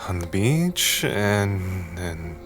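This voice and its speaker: An actor playing a gentle, nervous husband in an audio drama, tentative and earnest. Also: male voice, low-pitched, big pitch swings, very slow, heavily acted. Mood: nervous, hopeful. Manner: tentative, hesitant. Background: prominent music.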